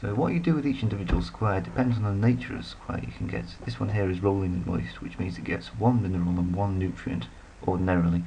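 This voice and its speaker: Low creaky british guy rambling thru a strategy game, bit of hiss behind. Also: fast talker, hardly any pauses, mellow, rough recording. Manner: rambling, self-deprecating asides. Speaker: let's play commentator. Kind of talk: casual gameplay commentary.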